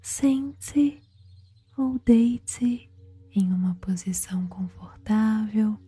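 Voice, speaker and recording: Brazilian woman for ASMR bedtime storytelling: dark, soothing whisper, unhurried delivery with long pauses, music underneath. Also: on the high side. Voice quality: breathy, whispery. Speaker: guided meditation host and storyteller. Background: background music.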